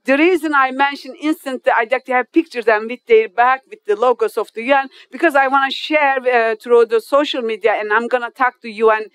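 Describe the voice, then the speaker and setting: A Turkish woman in her fifties with a Turkish accent, a non-native English speaker giving a formal speech to an audience. She speaks haltingly, with many hesitations, restarts and loose grammar. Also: a little high, clear, resonant tone, dry recording. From Turkey. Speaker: guest speaker at an inauguration.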